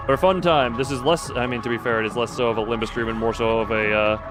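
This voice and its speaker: A man's voice: medium pitch, fairly even intonation, quick pace. A man hosting a game livestream, chatting and commenting as he reads along. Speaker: livestream host. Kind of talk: livestream game commentary, reading story text aloud and chatting. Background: game music.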